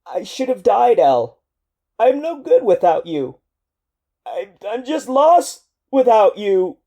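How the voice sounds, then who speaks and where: A woman's voice: medium pitch, sing-song, slow pace, mellow, pitched above the performer's own voice, exaggerated voice. A woman doing a sobbing, broken male marine. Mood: despairing. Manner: sobbing, strained, words tumbling out. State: crying. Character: grief-stricken young male marine, broken and guilt-ridden.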